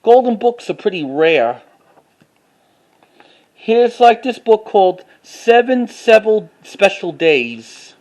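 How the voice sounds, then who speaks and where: Guy w a pretty high voice doing a casual collection show and tell, halting, repeats himself, reads titles out. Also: big pitch swings, darkish tone, low-quality recording. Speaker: collector showing finds to camera.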